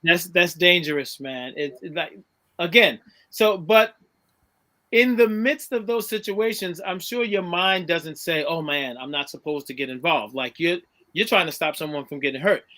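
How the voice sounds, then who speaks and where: A man with a high voice chats casually as a co-host. His tone is bright and sharp, and he backs up the speaker with quick affirmations. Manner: frequent short affirming interjections. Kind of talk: casual chat.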